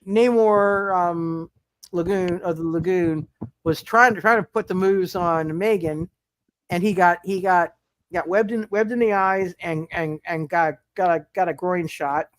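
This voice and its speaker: A man's voice: sits high, unhurried, smooth, no echo. A man narrating a live tabletop superhero game as game master, stammering and often repeating his words.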